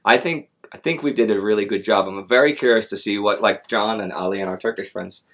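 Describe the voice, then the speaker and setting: A man's voice: on the low side, fairly quick, a bit bright. A middle-aged man with an American accent, chatting casually as he hosts a live stream. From United States. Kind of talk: casual chat, answering audience questions. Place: small indoor room, seated.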